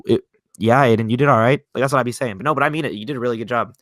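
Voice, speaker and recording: A man's voice: medium pitch, racing, fairly bright tone, no echo, clean studio sound. A nineteen-year-old man co-hosting a casual podcast, chatty and rambling with lots of "like". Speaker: podcast co-host. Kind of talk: casual chat. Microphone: home mic, talking online.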